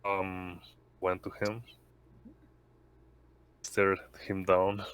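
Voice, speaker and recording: A man's voice: sits low, completely flat delivery, extremely slow, clear, resonant tone. A man chatting casually as a player in a tabletop game. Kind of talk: casual chat.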